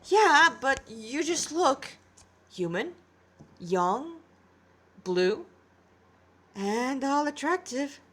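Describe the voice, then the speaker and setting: A teenage punk from a story, voiced by a woman, higher in pitch. He is blunt but awestruck, blurting out his words with some hesitation. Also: big pitch swings, extremely slow, long pauses, a bit bright, full character voice. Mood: flustered awe.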